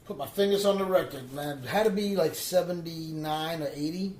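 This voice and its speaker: A man's voice: fairly high pitch, fairly quick. A man from the Bronx, telling a story as a DJ guest in an interview and acting out the quoted lines. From the Bronx, New York. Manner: lively storyteller who acts out quoted lines. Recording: echoey room.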